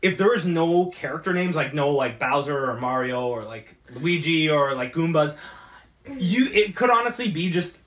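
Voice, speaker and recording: Halting, higher-voiced man, distant from the mic. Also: lively intonation, fairly bright tone. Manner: halting, rambling, repeats words. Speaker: talk show host. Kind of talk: casual geek movie chat.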